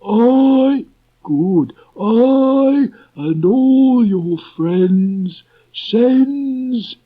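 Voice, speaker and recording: A gloomy old donkey from a children's storybook, pitched very high and dragging out each halting phrase. He is self-important and aggrieved, declaiming dolefully on a muffled old cassette. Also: animated, exaggerated voice. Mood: doleful, flustered.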